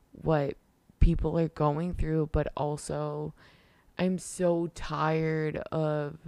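A woman in her twenties hosting a solo podcast, hoarse from losing her voice, talking candidly and rambling with lots of fillers and restarts. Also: low voice, darkish tone, dry recording. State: hoarse from a lost voice. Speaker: podcast host. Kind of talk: casual solo podcast talk.

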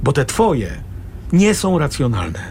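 An exasperated, sarcastic middle-aged father in a radio play. His fairly high voice rises and falls sharply as he argues, with a gravelly creak at the edges. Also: wildly swooping pitch, slow pace, darkish tone, slight background noise, exaggerated voice. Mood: dry, reproachful. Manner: sarcastic, argumentative. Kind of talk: radio drama acting.

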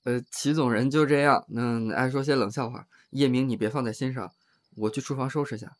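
A man's voice: mid-range voice, fairly even intonation, brisk, natural voice. A man in an audio drama playing a mild, patient housemate with an understated way of speaking. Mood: conciliatory, awkward. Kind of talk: amateur Chinese audio drama acting.

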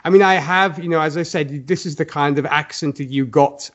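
A man's voice: on the high side, a little fast, dull audio. A man hosting a casual film discussion podcast, talking in a rambling, hedging way and often restarting his sentences. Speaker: podcast host.

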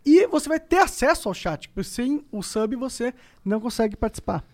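A man's voice: very high-pitched, a little fast. A man from Brazil hosting a podcast, chatting casually and reading sponsor messages, laughing often. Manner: laughs often, relaxed and loose. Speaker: podcast host.

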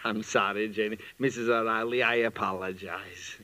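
An actor playing a sardonic musician professor who teases with mock sincerity, in old-time radio comedy style. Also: male voice, fairly low pitch, fairly even intonation, normal speed, sharp tone. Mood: placating. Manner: mock-sincere, dry needling.

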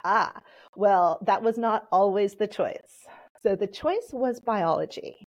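Measured woman, Polish first language. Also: mid-range voice, highs cut off. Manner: measured, explanatory. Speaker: podcast guest. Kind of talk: relaxed interview answers.

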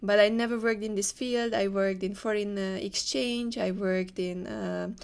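A woman with a Hungarian accent gives conversational interview answers. Her voice sits at a middle pitch and carries a lot of creak. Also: steady tone, unhurried, darkish tone. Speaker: interview guest, leadership coach.

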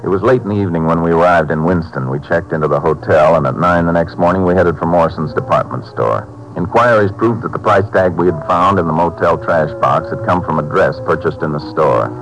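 An American actor playing a steady Texas Ranger who narrates his case in a terse, matter-of-fact 1950s radio drama style. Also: deep voice, brisk, music in the background, poor audio.

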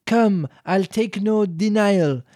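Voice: mid-range voice, slow pace, dark tone, no room sound, clean studio sound, lightly acted. A reader voicing an easily offended mouse in a storybook reading, severe and indignant. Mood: reciting.

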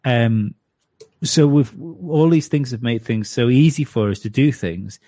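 A man's voice: mid-range voice, unhurried, dark tone, dry recording, studio quality. A man in his late thirties, a podcast guest chatting casually, stumbling over restarts and repeated words. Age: 30s. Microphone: home studio mic.

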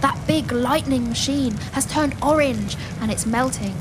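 A woman narrating a children's audio play as a storyteller, expressive and full of wonder, addressing listeners directly. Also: high voice, a little slow, background noise. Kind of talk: dramatic scripted children's storytelling. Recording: loud music and effects behind.

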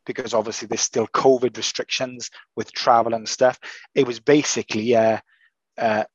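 A man with a croaky, raspy voice after illness, co-hosting a casual chat and often repeating words while he thinks. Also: medium pitch, no room sound, dull audio. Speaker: podcast co-host.

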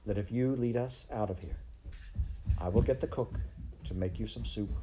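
A man voicing a gentle but resolute princess in a storybook, speaking earnestly. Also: fairly low pitch, background noise, close to an everyday voice. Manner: earnest, measured pleading.

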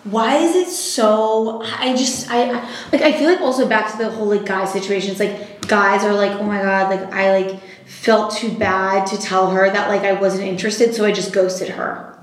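A woman's voice: medium pitch, steady tone, normal speed. A young woman co-hosting a casual podcast chat who speaks frankly and agreeably, filling her sentences with "like.". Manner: agreeable, filler-heavy, frank. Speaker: podcast co-host. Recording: echoey room, faint noise.

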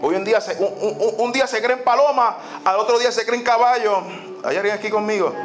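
A man's voice: high voice, fairly quick, soft background music. A man preaching a sermon, emphatic, repeating phrases and dropping in the English word "so". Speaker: pastor. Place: church sanctuary.

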